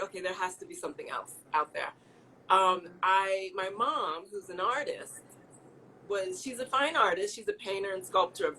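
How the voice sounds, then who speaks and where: A woman's voice: mid-range voice, pushed and bright, slight background noise, poor audio. Chatty laughing woman from the Midwest. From American Midwest. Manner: chatty, laughing, many fillers and restarts. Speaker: interior designer guest. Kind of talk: casual interview chat.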